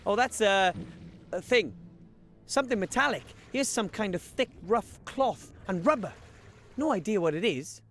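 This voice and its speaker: A timid young gnome from an adventure game, his voice sitting high and swooping all over as he stammers and fumbles along. He sounds hesitant and easily startled. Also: animated, normal speed, fairly bright tone, faint music behind. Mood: puzzled. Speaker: game character.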